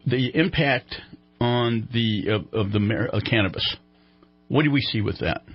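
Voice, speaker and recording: A man's voice: medium pitch, unhurried, breathy edge, dull audio. A man hosting a casual radio interview, talking in a chatty, meandering, joking way. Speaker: radio host.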